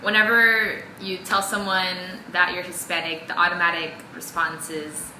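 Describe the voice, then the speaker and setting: A woman's voice: on the low side, level tone, moderate pace, bright, forceful tone. A young woman from Peru answering interview questions in a chatty way, full of ums and likes. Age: young adult. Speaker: student interviewee. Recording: echoey room with clear background noise.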